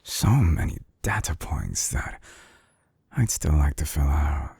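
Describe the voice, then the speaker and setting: A man playing a suave, obsessive villain scientist in an intimate audio drama, murmuring with silky condescension in a breathy, theatrical way, close to the mic. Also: animated, slow, a little mellow, no echo, full character voice. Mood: smooth, condescending. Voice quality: low, breathy, husky murmur. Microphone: close binaural microphone.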